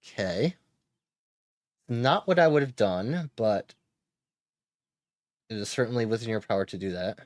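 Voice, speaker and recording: A man's voice: fairly low pitch, expressive, measured pace, pauses often, dry recording, studio quality. A man giving casual gameplay commentary while playing a card game, thinking aloud, chuckling and cheering his wins.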